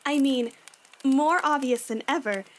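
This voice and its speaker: Woman acting as Sango, high-voiced frank young warrior. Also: unhurried, a little hiss or hum. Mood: reassuring. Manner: encouraging, frank, big-sisterly. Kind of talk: fan audio drama acting.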